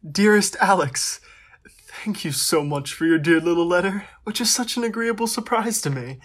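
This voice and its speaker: A man's voice: sits high, lively intonation, normal speed, a bit bright. A man playing a fond young crown prince. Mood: fond, playful. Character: affectionate, lighthearted young Russian crown prince. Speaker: actor in an audio drama. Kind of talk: dramatic reading of personal letters.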